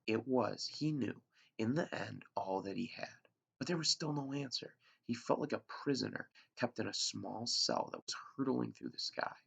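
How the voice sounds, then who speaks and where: A man's voice: lowish voice, vocal fry, fairly bright tone, husky. A man, a teacher, reading a novel aloud to his class, with an unpolished delivery that sometimes stumbles and restarts. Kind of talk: read-aloud storybook narration. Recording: dull lo-fi recording.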